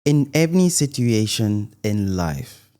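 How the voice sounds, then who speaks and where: A man's voice: lowish voice, big pitch swings, takes it slowly, dark tone. A middle-aged man from Tunisia, a guest in an interview, talking earnestly with many restarts and an emphatic "believe me". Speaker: guest, content creator.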